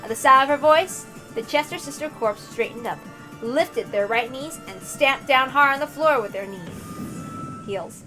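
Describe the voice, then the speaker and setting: A woman reading a visual novel aloud, with expressive narration and casual asides. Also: high voice, a little slow, music in the background, poor audio.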